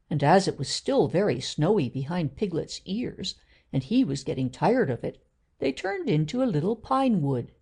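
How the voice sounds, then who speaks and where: A woman's voice: on the low side, vocal fry, dark tone, husky. A woman narrating a children's story from a script as a storyteller.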